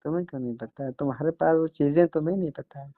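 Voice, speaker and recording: A man's voice: fairly high pitch, fast, no room sound. A man chatting casually and intimately on a phone call, in a coaxing, teasing manner. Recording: tinny, band-limited phone call recording.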